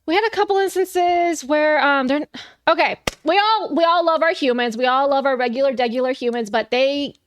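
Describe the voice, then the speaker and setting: A woman's voice: high-pitched, normal speed, a little hiss or hum. A young adult woman narrating a tabletop game as game master, chatty and upbeat with lots of fillers.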